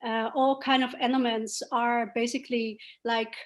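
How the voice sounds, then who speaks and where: A woman giving an informal, reflective personal talk, halting as she searches for words. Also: fairly high pitch, little pitch movement. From Chile-born, grew up elsewhere. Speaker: activist introducing herself.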